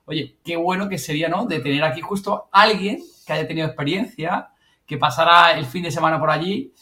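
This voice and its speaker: A man's voice: fairly high pitch, fairly quick, sharp tone. A man from co-hosting a casual live chat. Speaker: live show co-host.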